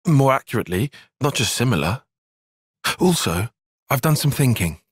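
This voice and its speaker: An earnest young tactician in a video game cutscene. His voice is a little husky and recorded close and dry. He speaks in formal, bookish sentences, with pitch that moves a good deal. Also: very animated, normal speed, dry recording. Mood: composed, analytical. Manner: precise, formal, bookish phrasing. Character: analytical, earnest young male soldier and tactician. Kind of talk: dramatic game cutscene acting.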